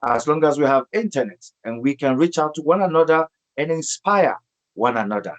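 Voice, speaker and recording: Man, talk show host, slightly high voice, emphatic, repeats phrases. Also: lively intonation, fairly quick. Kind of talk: online TV interview, show introduction.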